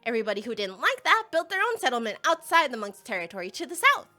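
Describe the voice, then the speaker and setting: A woman voicing an eager, feisty young female villager in an animated fan dub, bubbly, slangy and playful. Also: a little high, fast talker, faint music behind. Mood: chatty explaining.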